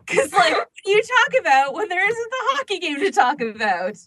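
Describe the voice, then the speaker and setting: A woman's voice: extremely high pitch, sing-song, brisk, fairly bright tone, no room sound. Chatty woman podcaster, close dry sound. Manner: chatty, frequent "like" as filler. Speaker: podcast host. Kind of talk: casual chat among fan podcasters.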